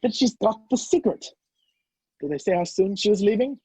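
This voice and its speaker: A man acting a husky, urgent fugitive. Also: sits high, animated, normal speed, mellow, no echo. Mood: probing, dry. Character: wrongly accused man on the run, urgent and impatient. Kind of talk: radio play acting. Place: video call, dry room. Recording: muffled video-call audio.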